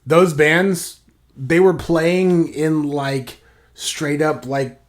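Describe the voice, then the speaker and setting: A man's voice: a little high, fairly even intonation, drawn-out words. A man co-hosting a podcast, talking in a rambling way with lots of "like" fillers and sudden bursts of enthusiasm. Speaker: podcast co-host. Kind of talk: casual podcast chat.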